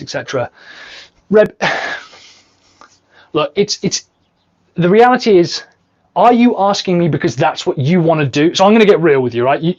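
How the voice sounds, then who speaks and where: A man coaching on sales in a live training, blunt and emphatic, swearing freely and going hoarse by the end. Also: fairly high pitch, muffled recording. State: losing his voice. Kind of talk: live training answering viewer questions.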